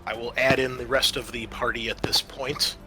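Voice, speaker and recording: A man's voice: mid-range voice, little pitch movement, moderate pace, music in the background. A man acting as game master, casually narrating a tabletop roleplaying game and explaining rules in informal, sweary talk.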